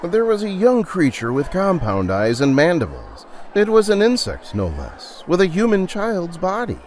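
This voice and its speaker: A man narrating a dramatic audio story in a theatrical, deliberate and suspenseful way. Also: high voice, huge pitch swings, a little hiss or hum. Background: music.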